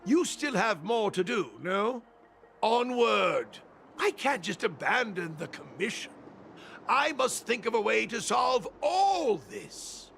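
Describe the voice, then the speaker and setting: A man voicing a stubborn, dutiful old master craftsman in a video game, speaking formally and firmly with a creaky voice. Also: high voice, very animated, slow, fairly bright tone, faint music behind, heavily acted. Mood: urgent. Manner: formal, firm, resolute.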